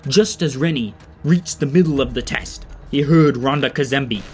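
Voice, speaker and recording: A man's voice: mid-range voice, normal speed, a little mellow, faint music behind. A man narrating an audio drama in a scripted storybook style.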